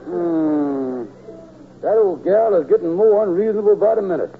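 An actor playing a scheming, lazy husband with a folksy, hesitant delivery in a 1940s radio comedy. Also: male voice, high voice, lively intonation, moderate pace, vocal fry, phone-quality sound. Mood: grumbling, sly. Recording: thin, hissy old radio recording.

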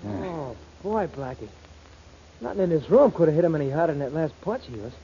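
An actor playing a nervous, dim but loyal small-time crook in 1940s radio comedy style, stammering and talking ungrammatically, on an old radio recording. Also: male voice, fairly high pitch, animated, a little fast, dark tone, lo-fi sound, a little hiss or hum. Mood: eager, loyal. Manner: stammering, streetwise ungrammatical talk. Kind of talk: 1940s radio comic acting.

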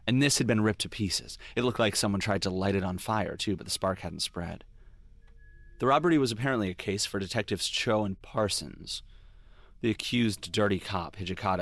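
A man's voice: on the low side, fairly bright tone, no echo. A man playing a brooding police detective, narrating a noir audio drama in a wry, confessional way. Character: brooding male police detective recalling his past mistakes. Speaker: lead character and narrator.

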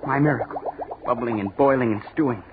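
A man's voice: mid-range voice, brisk, close to an everyday voice. An actor playing an obsessed, unhinged young male student chemist in 1940s radio horror style, feverish, boastful and repetitive. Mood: fanatical. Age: young adult. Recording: noisy, narrow-band 1940s radio recording.

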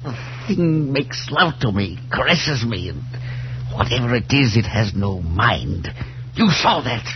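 Haunted professor, old dull radio. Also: male voice, mid-range voice, big pitch swings, measured pace, background noise. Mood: anguished revulsion. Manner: intense, clipped, theatrical. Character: tormented, insistent male professor, haunted and proud. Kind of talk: 1940s radio suspense acting. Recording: dull, humming 1940s radio recording.

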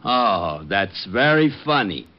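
An American man playing a slow-witted, surly police sergeant in 1950s radio drama style. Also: fairly low pitch, sing-song. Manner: dim, surly, plodding. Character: slow-witted grumpy male police sergeant, easily baited. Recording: muffled vintage radio recording.

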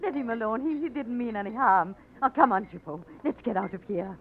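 A woman's voice: on the high side, lively intonation, fairly quick, heavy background noise. An actress playing a poor, fond Irish woman with an Irish accent, pleading and teasing her man, in a 1940s radio drama. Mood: anxious. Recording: tinny narrow old radio broadcast.

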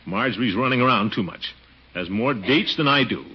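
An American actor playing a pompous, fussy, easily flustered middle-aged uncle in a 1940s radio comedy. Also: male voice, medium pitch, animated, moderate pace, breathy edge. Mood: confiding. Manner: pompous blustering, stammers and chuckles when flustered. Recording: muffled, noisy 1940s radio recording.

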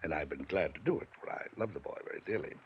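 An actor playing a pompous, domineering wealthy older uncle in a 1950s radio drama. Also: male voice, extremely low pitch, lively intonation, quick pace, a little hiss or hum. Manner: pompous, clipped, rises to angry lecturing. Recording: dull lo-fi 1950s radio broadcast.

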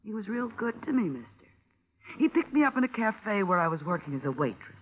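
An American actress playing a bitter, lonely widow, a tough former waitress, in 1950s radio drama with slangy, weary speech. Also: female voice, mid-range voice, very animated, fairly quick. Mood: bitter, confiding. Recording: tinny, noisy old radio broadcast.

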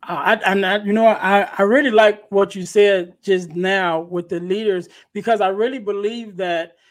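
A man hosting a podcast, with a soft, light voice, reflecting on and affirming his guest's words. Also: sits high, steady tone, moderate pace. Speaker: podcast host. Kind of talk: casual podcast interview.

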